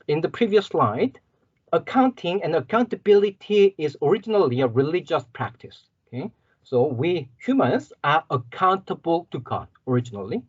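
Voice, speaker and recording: Korean-accented man, lecturer, lively rising and falling pitch, husky voice, dry dull lo-fi sound. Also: dry recording. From South Korea. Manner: explanatory, repeats key terms, frequent "okay" and "so". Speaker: university lecturer. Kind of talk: guest lecture.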